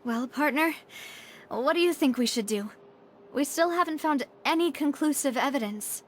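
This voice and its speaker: A woman playing a poised, determined young lady investigator in a video game, composed and expressive. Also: sits high, moderate pace, a little hiss or hum. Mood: thoughtful.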